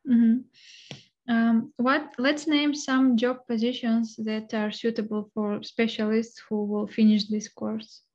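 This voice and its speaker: A woman's voice: fairly high pitch, flat delivery, slow, a little mellow, muffled recording. A woman interviewer who asks short questions and hums along.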